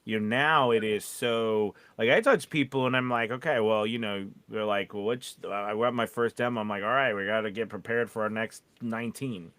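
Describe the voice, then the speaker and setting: A man's voice: lowish voice, steady tone, normal speed, hardly any pauses, fairly bright tone, dry recording. A man chatting casually on a podcast as a teacher, rambling and often restarting his thoughts. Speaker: voiceover teacher.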